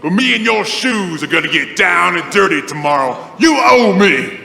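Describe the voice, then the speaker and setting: A man's voice: high voice, lively intonation, moderate pace, gravelly, bright, forceful tone, roomy sound, in a large hall, heavily acted. An actor playing a crude, taunting homeless man in an audio drama, leering and goading. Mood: defiant, taunting.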